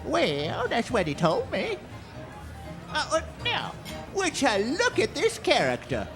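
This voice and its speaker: A teasing old-west town doctor, played by a woman, slow and hemming with a dry wit. The fairly high voice moves expressively through each line of the radio play, with music behind. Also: huge pitch swings, exaggerated voice, rough recording. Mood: amused, teasing. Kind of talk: western radio drama acting.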